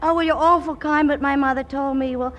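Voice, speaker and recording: A woman's voice: high-pitched, fairly even intonation, brisk, a bit bright, slight background noise. An actress in a 1940s radio comedy playing a grown woman who poses as an artless, chatty twelve-year-old girl, stammering and evasive. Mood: coy, flustered.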